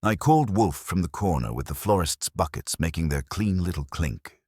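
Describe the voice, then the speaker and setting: A man with an extremely deep voice narrates a first-person detective story. His delivery is measured and wry, with a lot of movement in the intonation. The recording is dry, with hardly any room sound. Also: lively intonation, a little mellow, husky, no echo. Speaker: audiobook narrator.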